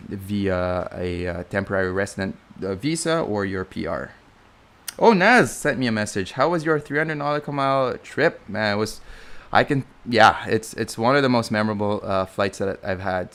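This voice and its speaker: A man's voice: fairly low pitch, fairly bright tone, clear, resonant tone. A young man with a Filipino accent, a flight instructor rambling through a live Q&A stream and often correcting himself. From Philippines. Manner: chatty, rambling, self-correcting with many fillers.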